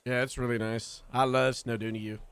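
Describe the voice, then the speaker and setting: A man's voice: medium pitch, flat delivery, normal speed, a bit bright, smooth. A young man from North Wales chatting casually to his stream while playing a roleplay game, rambling with little self-corrections.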